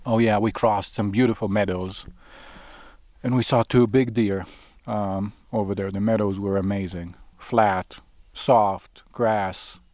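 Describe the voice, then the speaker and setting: A calm, easygoing man from Israel chatting casually outdoors at a campsite, recorded on a clip-on mic. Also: lowish voice, measured pace, dry recording, clean studio sound. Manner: calm, easygoing, short answers. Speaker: hiking partner, cousin. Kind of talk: casual conversation. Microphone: clip-on mics.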